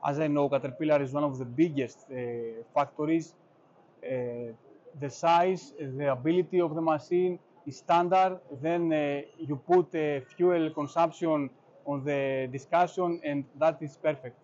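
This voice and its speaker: Halting man asking fair-booth questions. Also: a little high, fairly even intonation, a little mellow, a little hiss or hum. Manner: halting, many uhs, non-native phrasing. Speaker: interviewer. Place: large indoor exhibition hall. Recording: slightly dull audio.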